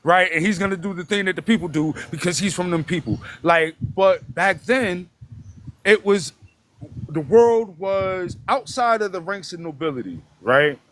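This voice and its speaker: A man's voice: sits high, animated, moderate pace, a bit bright. A man, a guest on a live stream, talking bluntly and emphatically, swearing and tagging his points with "right?". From Detroit, United States. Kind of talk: casual live-stream conversation.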